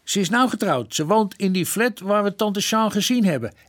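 A man's voice: sits high, lively intonation, moderate pace, a bit bright. A man playing a folksy, plain-spoken police detective who defers to his inspector in a radio drama. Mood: excited.

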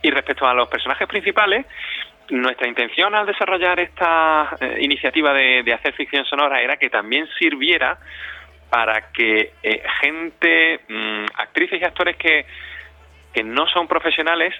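A man's voice: on the high side, normal speed, sharp tone, dry recording. A man answering interview questions conversationally, reflective and often repeating words as he searches for phrasing. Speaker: interviewee, community radio director. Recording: dull lo-fi sound, dry.

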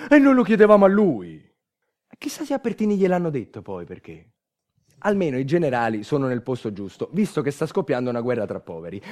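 A man performing a scripted stage monologue, dramatic, moving between hushed and emphatic delivery. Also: on the high side. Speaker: stage actor, narrator of a monologue. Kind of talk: theatrical scripted storytelling.

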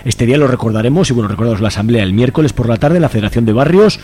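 A man's voice: medium pitch, animated, rapid-fire, a little mellow, slight background noise. A man from Spain with a Spanish accent presenting a radio show in a casual, conversational way.

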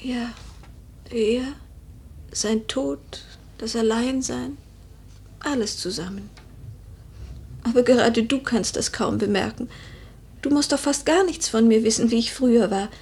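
A woman playing a wistful, proud noble widow in a German literary radio play, measured and poetic with hushed, breathy phrases. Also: fairly high pitch, heavily acted. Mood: melancholy, guarded.

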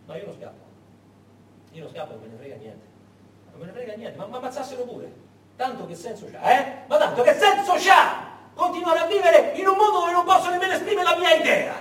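A man's voice: extremely high pitch, animated, fairly quick, fairly bright tone. An actor playing a defiant, fed-up socialist man facing arrest in a theatrical radio monologue, agitated and bitterly sarcastic. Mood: resigned, agitated. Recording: echoey hall, distant mic, noisy.

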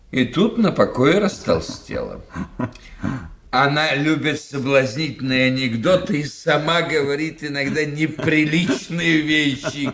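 Animated, witty gossiping doctor. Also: male voice, fairly high pitch. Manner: animated, wry, theatrical. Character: witty, sceptical gossiping male society doctor. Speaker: actor in a radio play. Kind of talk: radio drama acting.

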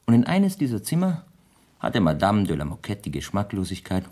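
Radio drama casting: rich bachelor, slightly rough husky voice, animated melody, aggrieved yet wry. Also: male voice, lowish voice, a little fast, exaggerated voice. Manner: theatrically put-upon, wryly teasing. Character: worldly, wry, aggrieved wealthy Parisian bachelor. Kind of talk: 1970s German radio play acting.

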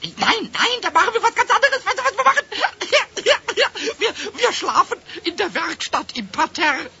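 A man voicing a cheeky, childlike little kobold with a squeaky piercing voice, stammering and repeating words excitedly in a children's radio play. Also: moderate pace, vocal fry, heavily acted. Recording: dull vintage radio recording.

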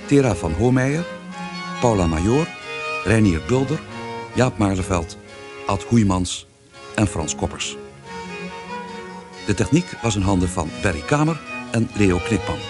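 A male radio announcer with a lowish voice reads scripted credits. He is formal and orderly, and violin music plays clearly behind him. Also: expressive, normal speed, long pauses. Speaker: announcer reading closing credits.

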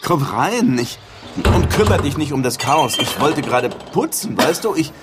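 A flustered, awkward policeman in a radio drama. His pitch moves in lively swings over clearly audible background noise. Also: a little slow. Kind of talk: radio play dialogue.

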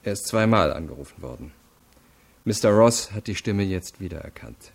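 An actor playing an unyielding deputy prosecutor in a radio crime drama, laying out facts in a firm, deliberate way. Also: male voice, low voice, lively intonation, creaky, husky, full character voice. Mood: firm, methodical. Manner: matter-of-fact, deliberate, firm. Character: methodical, unyielding male deputy prosecutor interrogating.

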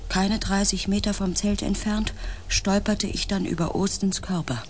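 Actor as anxious, hushed sci-fi explorer man. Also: high-pitched, fairly even intonation, moderate pace, a little hiss or hum, natural voice. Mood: eerie, hushed. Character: shaken male space expedition member, anxious, recalling a mission. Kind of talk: radio play acting with first-person narration.

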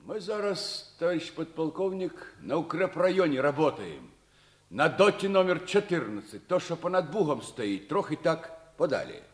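A cheerful young Ukrainian soldier in an old Soviet radio play. He talks in a folksy, bantering way with a highish, very animated voice. The recording is roomy. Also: normal speed. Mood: earnest storytelling. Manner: folksy, jocular storytelling. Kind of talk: 1950s Soviet radio theatre acting.

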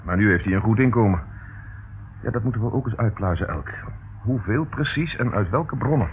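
Wry inspector, tinny noisy recording. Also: male voice, fairly low pitch, lively intonation, fairly quick. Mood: pensive. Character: dogged, sardonic male police inspector. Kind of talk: radio play acting.

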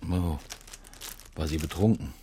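An actor playing a muted, slightly absent police inspector in a radio crime drama, terse and hesitant. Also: male voice, unhurried, mellow, a little hiss or hum. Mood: sober, probing. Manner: terse, hesitant, low-key.

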